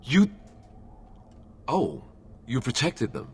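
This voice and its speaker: A man's voice: medium pitch, wildly swooping pitch, extremely slow, slight background noise, heavily acted. An actor playing a wary, sceptical male warrior companion in a fantasy video game.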